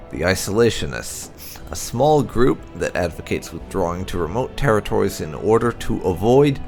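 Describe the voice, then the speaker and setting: A man reading game lore aloud in a measured, formal narration style. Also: low-pitched, gravelly, a little mellow. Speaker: gaming channel host reading lore entries. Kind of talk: read-aloud narration of fantasy game codex.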